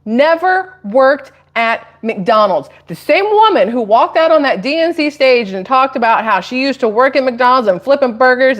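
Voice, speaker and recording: An American woman hosting a live stream of casual political commentary, chatty and rambling with emphatic asides. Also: a little high. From Atlanta, United States.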